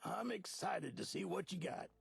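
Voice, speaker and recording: Very gravelly, excitable old settler. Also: male voice, sits high, moderate pace, no room sound, exaggerated voice. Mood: hopeful, excited. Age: older. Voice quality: gravelly, raspy. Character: hopeful old male settler, friendly and enthusiastic. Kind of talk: video game dialogue.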